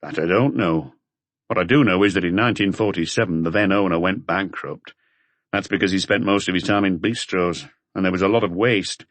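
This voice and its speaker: A man's voice: deep voice, a little fast, a bit bright, no echo. A man voicing a short, grumpy accountant in an audiobook, curt and guarded in manner. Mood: guarded, explaining. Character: short grumpy male accountant, defensive but talkative.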